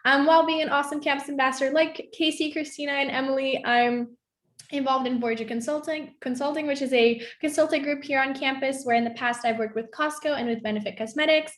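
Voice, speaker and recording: A young woman from Belgium introducing herself as a student panelist on a video call, brisk and lively. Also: high voice. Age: young adult. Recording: echoey room.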